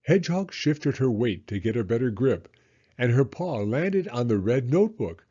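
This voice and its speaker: A man narrating a children's story as an expressive read-aloud. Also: mid-range voice, moderate pace, slightly rough. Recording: slightly dull, muffled audio.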